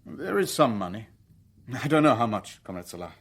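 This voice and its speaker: An actor playing a guarded middle-aged businessman who narrates his own spy story in a radio drama, in a measured, careful manner. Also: medium pitch, sing-song, creaky, a bit bright, full character voice. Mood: guarded, deferential. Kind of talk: radio drama dialogue and first-person narration.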